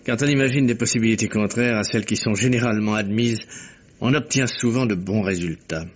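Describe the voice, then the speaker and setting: An actor playing a courteous, analytical gentleman detective in a vintage French radio mystery, with precise, theatrical delivery. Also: fairly low pitch, brisk, natural voice. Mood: animated, analytical. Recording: muffled old radio drama recording.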